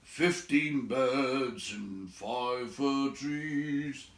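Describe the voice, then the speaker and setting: A man's voice: mid-range voice, extremely slow, pitched above the performer's own voice. A man voicing a tactful, crafty wizard who tells his tale with mild, hesitant politeness in a storybook reading. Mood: modest, storytelling. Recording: roomy echo, distant from mic.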